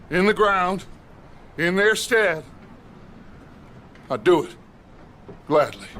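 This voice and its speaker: An actor playing a charismatic middle-aged American outlaw gang leader in a western game, speaking in a deliberate, oratorical, paternal way. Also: male voice, fairly high pitch, fairly bright tone, slight background noise. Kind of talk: cinematic western game acting.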